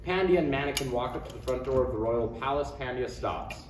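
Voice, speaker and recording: A man's voice: medium pitch, lively intonation, fairly quick. A man reading stage directions as narrator at a live table read. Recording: echoey noisy room, distant mic.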